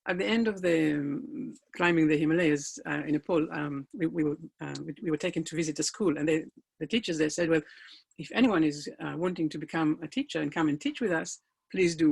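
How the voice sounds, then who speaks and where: A man's voice: on the high side, fairly quick, creaky, darkish tone, husky. A middle-aged man, a life coach whose first language is not English, telling his personal story in an earnest, motivational talk with emphatic rises.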